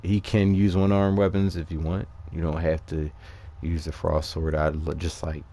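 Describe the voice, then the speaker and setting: A man giving casual, unscripted gameplay commentary, haltingly thinking aloud as he explains things. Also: rumbling low, little pitch movement, mellow. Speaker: gamer presenting a character build.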